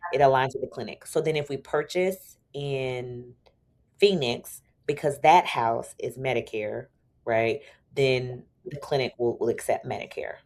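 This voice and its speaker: A woman in her forties, a podcast guest, chatting casually and telling stories with lively quoted dialogue and frequent laughs. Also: extremely low pitch, measured pace. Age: 40s. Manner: animated storytelling, acts out quoted dialogue; laughs often. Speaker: podcast guest, attorney turned entrepreneur. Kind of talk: casual interview chat.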